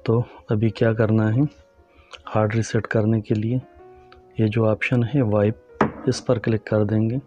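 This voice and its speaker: A man with a low, level voice narrates a gadget tutorial in a calm, instructive way. His tone is mellow and unhurried. The recording sounds dull and lo-fi, with soft music in the background. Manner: calm, instructive, addresses viewers as friends. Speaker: tech tutorial host. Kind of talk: step-by-step phone tutorial narration.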